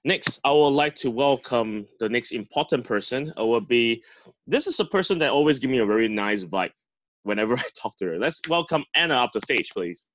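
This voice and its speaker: A man's voice: mid-range voice, animated, brisk, fairly bright tone, dry recording, muffled recording. A man hosting an online club meeting over a video call, introducing panelists in an upbeat emcee manner. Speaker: host.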